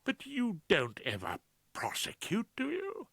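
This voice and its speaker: A man's voice: high-pitched, wildly swooping pitch, unhurried, gravelly, a bit bright, husky, no room sound, pitched above the performer's own voice, full character voice. A man voicing an anxious, aggrieved middle-aged male barrister, plaintive and indignant, in an audiobook. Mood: shocked. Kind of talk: audiobook character voice.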